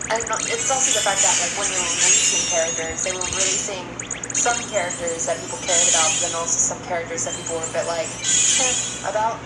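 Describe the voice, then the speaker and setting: Woman, lowish voice, rambling casual podcast chat, echoey room, loud music behind. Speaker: podcast co-host.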